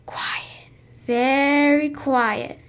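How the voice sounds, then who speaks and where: Slow young narrator, high voice. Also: female voice, fairly even intonation. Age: child voice, third grader. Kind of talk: school radio play narration.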